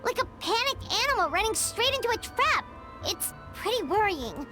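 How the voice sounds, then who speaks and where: An actor voicing a small, excitable companion creature in a video game, easily worried. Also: very high voice, moderate pace. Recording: music under the voice.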